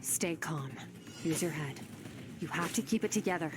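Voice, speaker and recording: An actress playing a composed, stern female sword master who instructs in a measured, commanding way in a video game. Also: deep voice, music in the background, natural voice. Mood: urgent, controlled. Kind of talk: anime video game voice acting.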